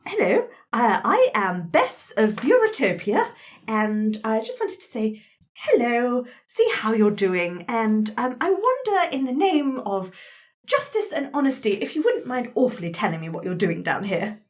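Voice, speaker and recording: A woman playing an earnest, courteous adventurer in a tabletop game, hesitant and gently coaxing. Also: a little high, big pitch swings, measured pace. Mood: polite, encouraging. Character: polite, earnest, encouraging female adventurer, gently persuasive.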